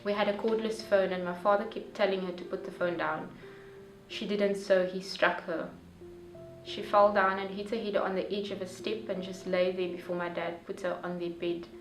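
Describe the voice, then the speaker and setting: A young woman reading a first-person story aloud in a careful, measured way. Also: mid-range voice, little pitch movement. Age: young adult. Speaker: youth reader. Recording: distant echoey room with soft music.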